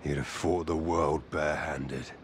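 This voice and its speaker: An actor playing a grim, battle-hardened soldier in an action game, speaking tersely in a very deep, gravelly voice. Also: male voice, fairly even intonation, a little slow, a little hiss or hum. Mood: solemn. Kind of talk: cinematic action game acting.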